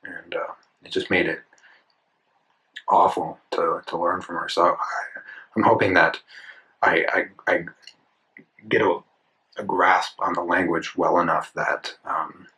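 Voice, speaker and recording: A man's voice: sits low, little pitch movement, measured pace, long pauses, sharp tone, rough recording. A man in his twenties talking casually while he draws, rambling and correcting himself as he thinks aloud. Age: 20s. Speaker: art channel host. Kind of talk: casual talk while drawing. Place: indoors at a desk, drawing in a sketchbook.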